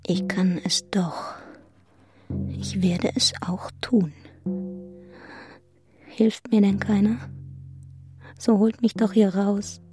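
Radio drama lead for an actress: wounded, resolute young governess, medium register, intense yet restrained narration, musical backing. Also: female voice, mid-range voice, fairly even intonation, slow, pauses often, soft background music, close to an everyday voice. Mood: desolate, anguished. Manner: restrained, intense, breaking into hushed fragments. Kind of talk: German radio play narration and acting.

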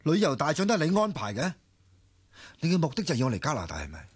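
An earnest, blunt man in a Cantonese radio drama, with a Hong Kong accent. He talks fast in a husky voice, an ordinary guy who has been deceived. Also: fairly high pitch, huge pitch swings, full character voice. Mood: indignant. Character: indignant, deceived ordinary man demanding the truth.

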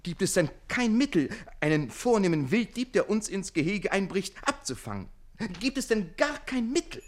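An actor playing an ardent, quick-witted and indignant servant bridegroom in a classic radio play, wry and theatrical. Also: male voice, high-pitched, very animated.

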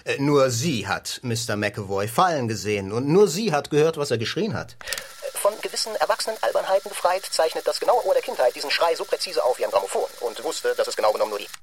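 An actor playing a polite, solicitous gentleman companion to a detective in a radio play, slightly hesitant in manner. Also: a little high, expressive, fast, slight background noise, natural voice. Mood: earnest.

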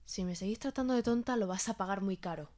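A woman's voice: medium pitch, quick pace, a little mellow, natural voice. A woman acting a wounded, indignant girlfriend in a naturalistic audio drama, reproachful and direct. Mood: hurt, indignant.

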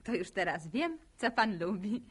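Lilting, husky young village woman. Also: mid-range voice, sing-song, measured pace, exaggerated voice. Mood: warm, interested. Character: bookish, self-possessed young village woman, gently encouraging. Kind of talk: naturalistic radio drama acting.

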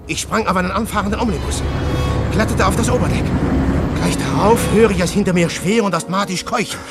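A nervous hunted agent telling his own chase, slightly high voice, hushed to urgent, with music behind. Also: fairly quick, gravelly. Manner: hushed, then urgent and breathless. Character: hunted undercover agent narrating, tense and fearful. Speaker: first-person narrator. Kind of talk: radio play narration. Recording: narration over loud music.

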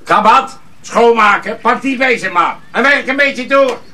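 A man's voice: very high-pitched, unhurried. A man playing a harsh, bossy mill master in a radio drama, barking curt orders. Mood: commanding. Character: harsh, bossy male mill master, driving his apprentice hard. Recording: noisy, distant radio drama recording.

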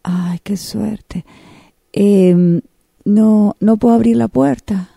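A mellow woman's voice with fry, acting someone anxious in a radio drama. She is pleading, needy and a bit touchy, in a close, dry sound. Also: medium pitch, steady tone, very slow, no room sound, exaggerated voice. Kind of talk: amateur radio drama exercise.

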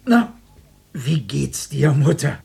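An actor playing a middle-aged husband and father in a radio play, breezy, bossy and a bit bumbling, with a slightly husky voice. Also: fairly high pitch, very animated, slow, a little mellow, heavily acted. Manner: casual, jovial, patronizing. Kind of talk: German radio play acting.

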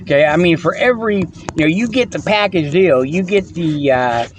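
High-voiced rambling man who keeps chuckling. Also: normal speed, slight background noise. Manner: chuckling, rambling, lots of fillers and restarts; playful, teasing. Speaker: host. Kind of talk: rambling comic monologue.

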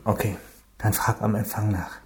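An actor playing an earnest, protective young gangster's son turned informant in a radio crime drama, plain and urgent. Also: male voice, on the low side, little pitch movement, moderate pace, a little hiss or hum, natural voice. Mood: subdued, worried. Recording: echoey room.

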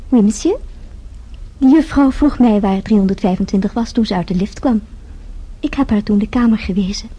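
An actress playing a shrewd, coy young French chambermaid in a radio play, polite and businesslike. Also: female voice, mid-range voice, fairly quick, warm tone, slightly rough, lightly acted. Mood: polite, knowing. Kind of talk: radio drama acting.

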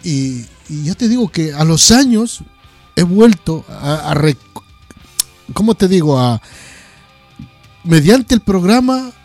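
A man chatting casually as a programme host, haltingly and often repeating words. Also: sits high, big pitch swings, darkish tone, soft background music. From Ecuador. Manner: hesitant, repeats words as they go. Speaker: host of a heavy metal radio programme. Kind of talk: casual interview chat.

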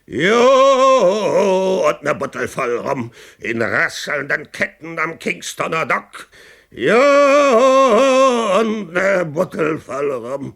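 Blustery old pirate skipper, high forceful voice, drags out his oaths, radio play. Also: big pitch swings, creaky, heavily acted. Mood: feverish raving. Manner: blustering, drawn-out calls and oaths. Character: domineering old pirate captain, feverish and raving. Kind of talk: German radio play acting.

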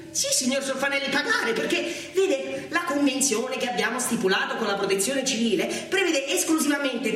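A man voicing a falsely courteous hotel receptionist with a formal, drawn-out, over-polite way of talking. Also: very high-pitched, fairly bright tone, heavily acted. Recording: very echoey theatre recording, distant, with music.

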